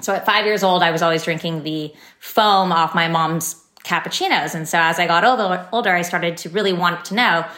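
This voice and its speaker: A woman's voice: lowish voice, a little fast, few pauses, bright, forceful tone. A woman in her thirties from San Francisco, a company founder telling her story in a podcast interview in an upbeat, chatty way. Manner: upbeat, chatty, many fillers. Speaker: startup founder and guest. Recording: echoey room.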